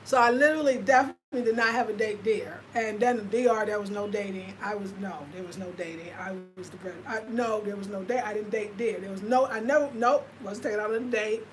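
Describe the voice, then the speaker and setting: A woman's voice: mid-range voice, fast, few pauses, faint music behind, rough recording. A woman in her fifties with an American accent, chatting casually and confidingly to viewers on her livestream, full of fillers. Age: 50s. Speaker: lifestyle vlogger.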